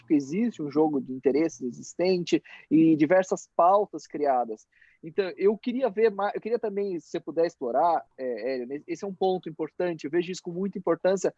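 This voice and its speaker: Male academic moderator, talks on at length with tag questions, slightly higher voice, dry muffled call audio. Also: warm tone, no echo. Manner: rambling, frequent "né?" tags. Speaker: mediator and law professor. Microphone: video call.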